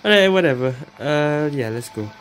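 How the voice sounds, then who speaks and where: Female gaming streamer: very low voice, unhurried, thinking out loud over game music. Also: lively intonation, low-quality recording. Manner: musing aloud, questioning. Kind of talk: casual gameplay commentary. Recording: game music and effects behind.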